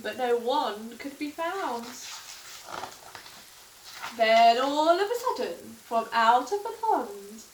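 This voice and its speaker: A woman reading a rhyming picture book aloud for children with an animated, sing-song delivery. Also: a little high, slight background noise. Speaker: storytime reader. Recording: echoey room.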